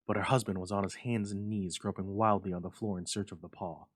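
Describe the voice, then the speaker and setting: Guy reading a spooky story out loud, fairly deep voice, dramatic suspenseful narration. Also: steady tone, a little fast. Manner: suspenseful, theatrical. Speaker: library staff storyteller.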